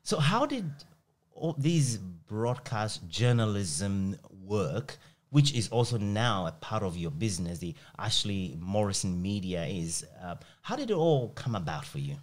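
A middle-aged man, asking measured questions as a podcast host. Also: on the low side, sing-song. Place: small plain indoor room. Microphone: close microphone on a stand.

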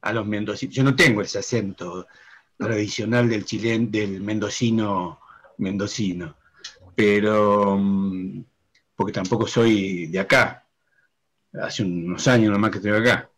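A middle-aged man calls in to a talk show from his home over a webcam. He talks casually and rambles, warming up and getting animated as he tells a story, circling back on his own words. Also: on the low side, unhurried, breathy edge. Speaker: guest, media director. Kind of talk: casual chat. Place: home room in Mendoza. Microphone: video call webcam.

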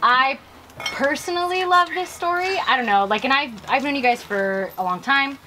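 A woman's voice: high voice, normal speed, sharp tone, slight background noise, rough recording. A young woman interviews a winemaker in a chatty, casual way full of "like", outdoors on a vineyard patio near the camera. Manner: chatty, full of "like" and "I don't know".

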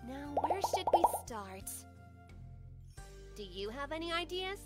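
A perky young anime woman, played by an actress, encouraging a friend. Her high voice moves around in lively, coaxing swings, with loud cartoon music behind her. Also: measured pace. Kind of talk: animated cartoon dub acting.

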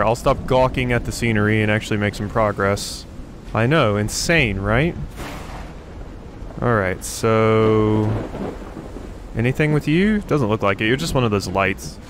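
A man's voice: on the low side, measured pace, noisy background. A man giving casual let's play commentary, thinking aloud as he goes. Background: game ambience.

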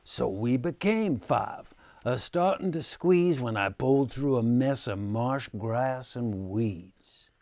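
Older man, storybook reader, slow, expressive, swooping pitch, creaky, close dry room sound. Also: no room sound. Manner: theatrical, drawn-out delivery. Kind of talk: expressive read-aloud of a children's picture book. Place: small furnished room indoors.

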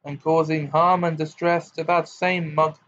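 A man's voice: on the high side, level tone, unhurried, fairly bright tone, voice pushed up. A man voicing a formal male official who speaks hastily and then firmly. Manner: hasty, then firm and formal. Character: flustered but dogged male official. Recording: dull lo-fi recording.

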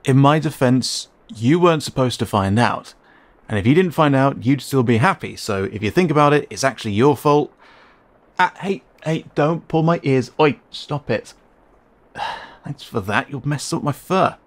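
A man voicing a cheeky, skittish young raccoon boy in an audio roleplay, muttering and grumbling with halting, sarcastic protests. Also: medium pitch, lively intonation, close to an everyday voice. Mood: cheeky, defensive.

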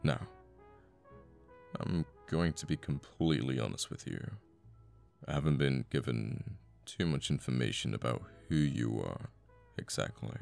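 A man playing a cocky assassin boyfriend in an intimate ASMR audio roleplay, murmuring and sweary, with sighs and pauses. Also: extremely low pitch, gravelly, exaggerated voice. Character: cocky young male assassin, flippant, menacing, secretly a devoted boyfriend.

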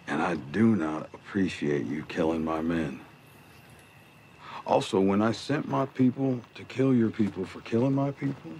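An actor with an American accent playing a smug, cruel middle-aged gang leader in a TV drama, drawling and mocking with deliberate pauses. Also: male voice, lowish voice, darkish tone. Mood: coldly mocking. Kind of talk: television drama villain monologue. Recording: TV drama clip with noticeable background noise.

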